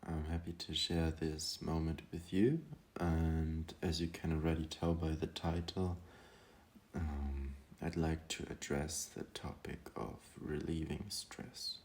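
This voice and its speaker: A man guiding a meditation in a calm, gentle manner, speaking hesitantly with long pauses. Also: extremely low pitch. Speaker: meditation guide. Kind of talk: guided meditation talk.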